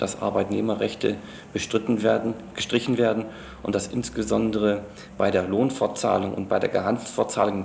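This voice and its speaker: Flat-toned gravelly man in an echoey room. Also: lowish voice. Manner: formal, wordy, official phrasing. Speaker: trade union regional secretary being interviewed. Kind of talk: formal interview answers. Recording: echoey room, faint background noise.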